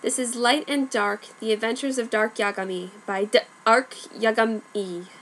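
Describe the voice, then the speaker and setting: A woman narrating a fanfic as a dramatic reading, in a deadpan, mock-serious tone. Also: fairly high pitch, moderate pace, a little hiss or hum. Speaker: reader. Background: faint background music.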